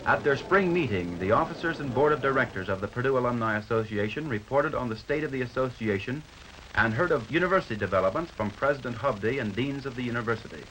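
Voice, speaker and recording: An American man narrating a 1950s newsreel from a script, in a formal, measured announcer's delivery. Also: medium pitch, expressive, a bit bright, low-quality recording. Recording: 1950s newsreel soundtrack with faint noise.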